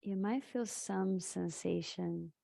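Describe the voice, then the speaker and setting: A woman leading a live guided meditation in a soothing, halting way, leaving long pauses between short phrases. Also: fairly low pitch, creaky, warm tone.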